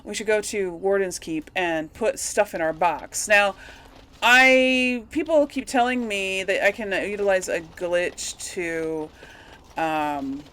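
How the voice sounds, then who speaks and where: A woman's voice: mid-range voice, slow pace, a bit bright, clear, resonant tone. A woman giving casual, rambling video game commentary to her audience, chatting to the characters as she plays. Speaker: host of a video game let's play.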